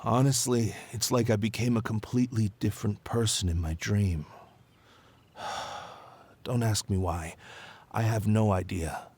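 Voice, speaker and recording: A man's voice: fairly low pitch, fairly even intonation, a little slow, pauses often, darkish tone, slight background noise. A man playing an earnest, troubled, plain-spoken ordinary man in an anime video game. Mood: bewildered. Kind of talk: anime-style game character acting.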